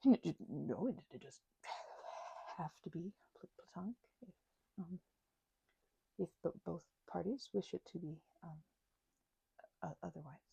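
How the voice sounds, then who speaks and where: Female vampire, played by a woman, shy and grateful; husky, mellow, nervous stammer, intimate roleplay. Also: lowish voice, expressive, measured pace, long pauses, dry recording, lo-fi sound, exaggerated voice. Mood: flustered, stammering. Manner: stammering, flustered, nervous giggles, trailing into breathy murmurs. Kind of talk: intimate audio roleplay to the listener.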